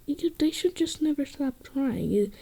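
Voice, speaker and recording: A woman acts out an earnest rally-goer in a mock news interview. The voice is slow and soft-edged, dark in tone, with halting, gushing delivery. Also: sits high, very animated, vocal fry, heavily acted, poor audio. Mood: hopeful. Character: earnest Quebec independence supporter at a rally, interviewed.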